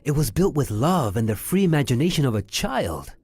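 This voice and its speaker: An actor playing a whimsical, childlike creative director in a comedic audio drama, with a theatrical, earnest delivery. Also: male voice, medium pitch, very animated, brisk, a little mellow, husky, no room sound. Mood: wounded, earnest.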